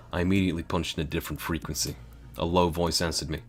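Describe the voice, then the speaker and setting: A British man reading a game novel aloud and breaking off into casual, swearing commentary. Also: sits low. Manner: chatty, swearing, laughs at own jokes.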